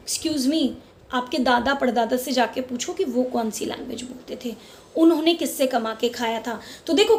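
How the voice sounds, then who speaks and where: A middle-aged Indian woman hosting her own vlog, speaking with passion, repeating words for emphasis and mixing English words into her Hindi. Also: sits high. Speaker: channel host. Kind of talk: casual opinion vlog. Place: lives in Mumbai.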